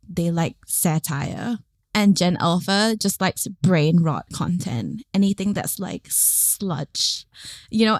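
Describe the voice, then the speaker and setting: A woman's voice: lowish voice, no echo, studio quality. A woman from Singapore, a podcast guest, chatting casually with frequent "like" fillers. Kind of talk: casual chat.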